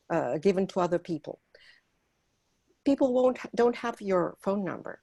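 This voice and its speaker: A woman from Argentina, a volunteer organizer, giving an earnest prepared talk at a meeting and pausing often on fillers. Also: medium pitch, a little mellow, slightly rough. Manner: earnest, persuasive, with frequent filler pauses.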